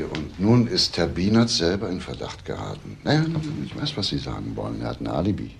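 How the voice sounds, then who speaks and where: An actor playing a probing, insistent police detective with a gravelly voice in a crime drama. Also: male voice, low-pitched, a little hiss or hum, exaggerated voice. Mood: dry, insinuating. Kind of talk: German TV crime drama dialogue.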